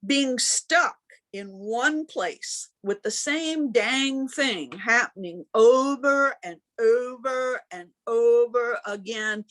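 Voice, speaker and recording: A woman minister giving a sermon in deliberate, emphatic phrases with pauses, speaking over a video call. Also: on the high side, fairly bright tone. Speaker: minister preaching.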